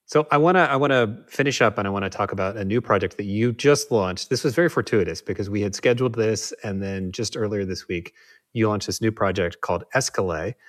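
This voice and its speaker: A man's voice: lowish voice, fairly quick. A man hosting an interview, asking questions and reacting with enthusiasm. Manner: enthusiastic, encouraging. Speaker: host, interviewer. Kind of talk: casual interview talk.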